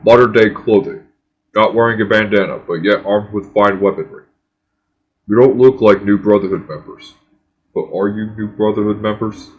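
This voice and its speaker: Male actor as stern post-apocalyptic settlement leader for a game; low voice, measured command, slightly muffled lo-fi sound. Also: steady tone, close to an everyday voice. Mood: stern, probing. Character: guarded, authoritative wasteland settlement governor, man. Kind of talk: scripted game cutscene dialogue.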